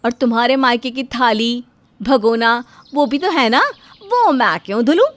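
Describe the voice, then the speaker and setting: A woman puts on a haughty elder daughter-in-law for an animated moral tale. She speaks in a fairly high voice with boastful, scornful, theatrical delivery, always ready to bicker. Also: measured pace, dry recording. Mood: loud, bossy. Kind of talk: animated Hindi moral story dubbing.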